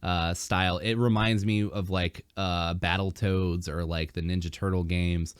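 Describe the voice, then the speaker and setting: A man hosting a casual podcast, rambling with fillers and restarts, his throat dry and a bit hoarse. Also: deep voice, steady tone, a little slow, no echo. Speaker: podcast host.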